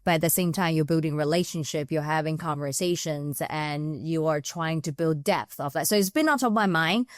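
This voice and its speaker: Low-voiced woman, thoughtful and dry. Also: brisk, hardly any pauses, no echo. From Taiwan, raised in New Zealand. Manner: thoughtful, circles back on ideas with rephrasing. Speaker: HR consultant and author, podcast guest. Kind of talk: reflective conversational interview answers.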